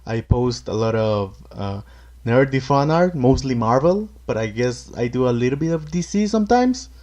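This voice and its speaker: A man's voice: medium pitch, animated, normal speed, darkish tone, lo-fi sound. A man casually introducing himself in a diffident, trailing way. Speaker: fan artist.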